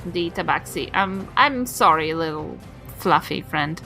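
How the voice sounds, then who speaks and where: A woman's voice: sits low, expressive, measured pace, sharp tone, soft background music. A woman chatting casually as a player in a tabletop game, a little hesitant.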